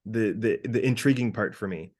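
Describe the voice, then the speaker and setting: A man in his thirties talking casually as a podcast co-host, animated and sweary as he thinks out loud. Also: fairly low pitch, moderate pace. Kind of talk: casual conversational monologue with hypothetical examples.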